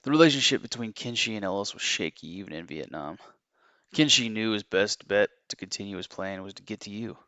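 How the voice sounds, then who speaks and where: A man's voice: lowish voice, fairly quick, pushed and bright, no echo. A man playing an earnest, haunted former assassin confessing his story in an audio drama. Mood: earnest, intense.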